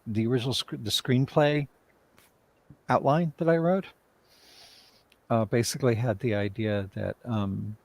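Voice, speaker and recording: A man talking casually on a livestream video call as a writer and director, telling things in a hesitant, halting way. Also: medium pitch, darkish tone, dry recording. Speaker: writer and director, interview guest.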